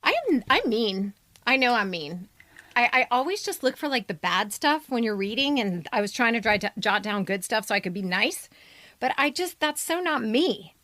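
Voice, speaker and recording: A woman's voice: fairly high pitch, big pitch swings, moderate pace, a bit bright, dry recording. A woman co-hosting a podcast, giving blunt, teasing critique in casual conversation. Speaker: podcast co-host and editor giving commentary.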